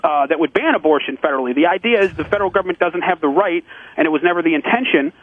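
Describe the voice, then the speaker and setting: A man's voice: on the high side, racing, no room sound. A man phoning in to a radio show, arguing earnestly and casually, insistent and stammering on word starts over a phone line. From United States, Connecticut. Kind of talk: earnest, casual political argument.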